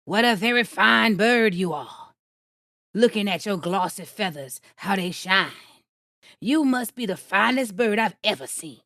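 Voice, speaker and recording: A woman voicing a sly, smooth-talking male fox who flatters to get his way, in a storybook reading. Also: medium pitch, measured pace, no room sound, clean studio sound. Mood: flattering. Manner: sweet-talking, coaxing.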